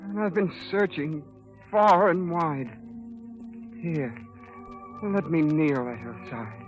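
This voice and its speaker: An actor playing an earnest, romantic young prince in a 1930s radio fairy tale, with ardent, poetic delivery. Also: male voice, fairly high pitch, takes it slowly, low-quality recording. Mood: grieving, reverent. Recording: vintage 1930s radio recording, music behind.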